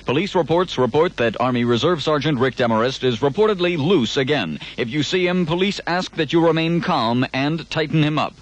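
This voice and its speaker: A man's voice: a little high, lively intonation, fairly quick, few pauses. A man in his twenties with an American accent announcing as a 1980s radio disc jockey, with time and weather checks. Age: 20s. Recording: muffled 1980s radio aircheck recording.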